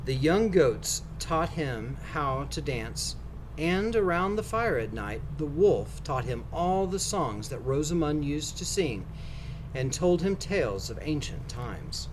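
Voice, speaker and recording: Male storybook reader, animated intonation, deliberate phrasing, read-aloud narration, noticeable background noise. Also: a little high, low-quality recording.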